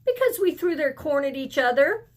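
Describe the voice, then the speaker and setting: A woman voicing a schoolchild who casually recounts a chaotic field trip in a picture book read-aloud, drawn-out and matter-of-fact. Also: high voice, very animated, pitched above the performer's own voice.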